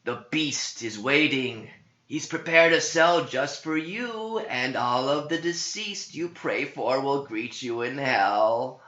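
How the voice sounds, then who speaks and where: Storybook demon in a possessed man: raised, forceful, commanding, mocking, in an echoey room. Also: mid-range voice, slow pace, pitched above the performer's own voice. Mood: mocking, menacing. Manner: taunting, emphatic, commanding. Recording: dull, echoey room recording.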